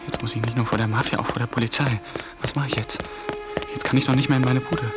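An actor playing an ambitious young man on the run in a radio drama, muttering to himself in breathy fragments. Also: medium pitch, steady tone, quick pace, lightly acted. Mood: panicked, muttering. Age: young adult. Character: ambitious young German intern on the run, panicked, improvising. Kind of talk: radio drama acting with inner monologue.

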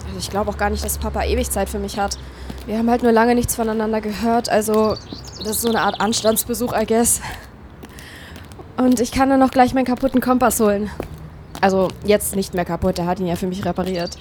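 Eine Schauspielerin spielt in einem Hörspiel eine junge, unsichere, lockere Frau, die umgangssprachlich mit englischen Einsprengseln redet. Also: female voice, medium pitch, steady tone, a little fast, darkish tone, slight background noise, lightly acted. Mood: casual, chatty. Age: young adult. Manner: colloquial youth slang with English bits. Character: Daya, young woman, anxious, self-doubting, casual, caring. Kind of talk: naturalistic audio drama acting.

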